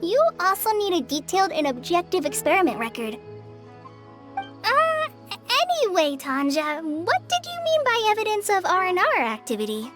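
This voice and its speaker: A woman's voice: very high-pitched, sing-song, normal speed, music in the background. A woman voicing a shy, earnest young girl forest ranger in a video game, polite and hesitant.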